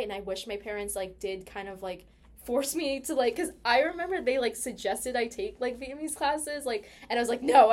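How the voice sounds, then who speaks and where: A young American woman in casual personal conversation, chatty and peppering her talk with "like". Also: on the high side. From United States. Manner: chatty, animated storytelling with heavy use of "like".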